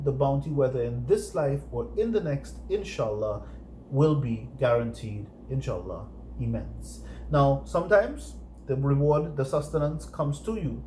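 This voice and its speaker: A man's voice: medium pitch, warm tone, reverberant, slight background noise. A man, hosting a casual chat and earnestly urging viewers, with many fillers. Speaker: host. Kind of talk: casual chat with religious reflection. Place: living room set, indoors.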